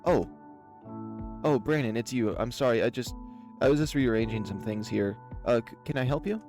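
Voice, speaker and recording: A man's voice: mid-range voice, moderate pace, darkish tone, natural voice. Nervous, stammering male dinosaur, done by a man. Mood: startled, flustered. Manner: flustered, stammering. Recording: music under the voice.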